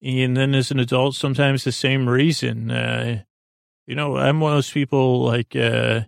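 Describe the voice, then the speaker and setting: Creaky, monotone man lulling listeners to sleep. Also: medium pitch, dry recording, clean studio sound. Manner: meandering, halting, full of filler words; lulling, gentle, reassuring. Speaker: sleep podcast host. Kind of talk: rambling soothing bedtime monologue.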